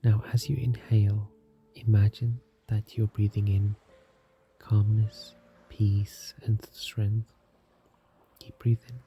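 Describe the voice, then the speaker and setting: Gravelly, mellow man guiding meditation. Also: on the low side, highs cut off. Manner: calm, gentle, unhurried with long pauses. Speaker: meditation guide. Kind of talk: guided meditation, soft and soothing scripted narration. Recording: music behind the voice. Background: soft music.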